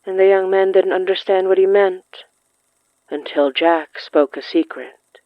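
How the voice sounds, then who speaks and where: A narrator telling a scripted literary horror story in a measured way, with dramatic pauses between short phrases. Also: medium pitch, fairly even intonation, no echo, dull audio. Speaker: narrator of a horror fiction podcast.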